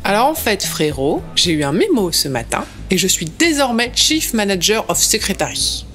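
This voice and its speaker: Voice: mid-range voice, sing-song, normal speed, fairly bright tone, faint music behind. An actor playing a slangy, self-important secretary in a comedy audio drama.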